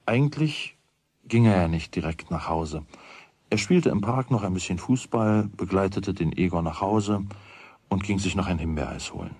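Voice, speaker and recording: A man with a husky voice narrating a children's radio play. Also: deep voice, animated, normal speed, darkish tone, no echo, heavily acted. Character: storyteller of a children's tale.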